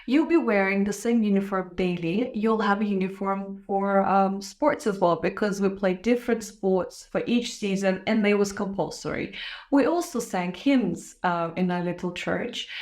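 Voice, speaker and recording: A young woman from Israel with a foreign-accented English, hosting her podcast and telling her life story in a candid, reflective way with soft laughs. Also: medium pitch. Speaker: podcast host. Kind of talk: casual personal monologue to camera.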